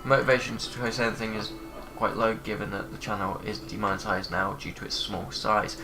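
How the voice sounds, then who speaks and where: A man's voice: fairly low pitch, level tone, fairly quick, over music. A man with a cold, giving rambling, hesitant commentary while he plays a game. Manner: rambling, hesitant with many fillers. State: congested with a cold. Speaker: gaming channel host. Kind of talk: casual let's play commentary.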